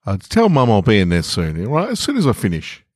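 A man reading the part of a busy, affectionate father in a short story, offhand and halting. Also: on the low side, huge pitch swings, a little mellow, no echo, clean studio sound. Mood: gruffly affectionate.

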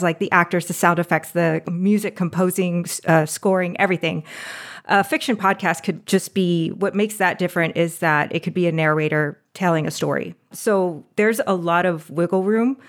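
A woman guest on an interview podcast, talking casually with lots of fillers and laughs. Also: on the low side. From United States. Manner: chatty, laughs often, many fillers and restarts. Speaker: guest, audio drama producer.

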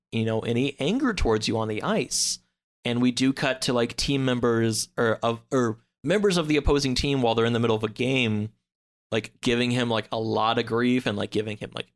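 A man in a casual podcast chat, recapping a story in a halting, rambling way full of filler words. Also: medium pitch. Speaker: podcast guest.

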